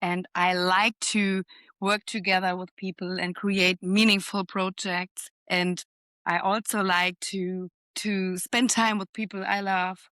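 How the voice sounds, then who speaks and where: A young adult woman co-hosting a podcast, talking calmly and reflectively and pausing to search for words. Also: medium pitch, no echo. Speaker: podcast co-host and forest bathing trainer. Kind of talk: casual podcast chat.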